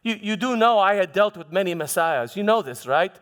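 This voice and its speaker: A proud, defensive Roman prefect, acted by a man in a church dramatization, recounts his deeds in a high-pitched male voice. He speaks theatrically but haltingly, doubling back on words like a soldier justifying himself. The sound rings with the long reverb of a hall. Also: expressive, a bit bright, smooth. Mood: grave, wary. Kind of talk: first-person church dramatic monologue.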